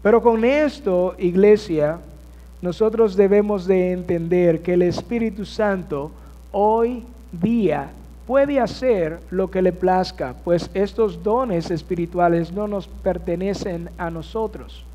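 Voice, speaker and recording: High-voiced pastor preaching in a hall. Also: male voice, slow, dark tone. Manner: addresses congregation with rhetorical questions. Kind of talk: sermon. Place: church sanctuary. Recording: church hall reverb.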